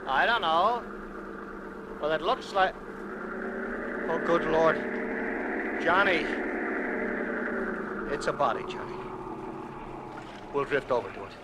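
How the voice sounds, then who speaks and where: A slightly high-voiced, easygoing American fishing buddy, kindly and a bit rambling. He speaks in vintage radio drama style on a noisy old recording. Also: big pitch swings, takes it slowly, long pauses. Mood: shocked. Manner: hesitant, rambling. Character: easygoing local fishing companion, chatty and kindly. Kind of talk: mid-century American radio drama acting.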